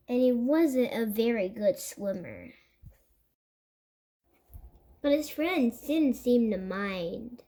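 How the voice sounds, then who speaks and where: A child reading a picture book aloud for other kids, with expressive, emphatic storytelling. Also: high voice. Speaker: host of a read-aloud channel.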